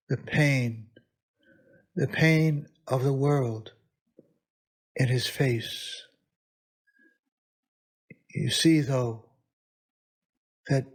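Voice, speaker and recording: A man leading a guided meditation as a priest, speaking reverently in short phrases with long pauses between them. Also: medium pitch. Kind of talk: guided meditation, spoken prayer reflection.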